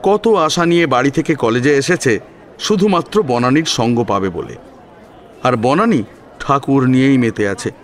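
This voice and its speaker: A man's voice: medium pitch, expressive, moderate pace. A man narrating a scripted dramatic story.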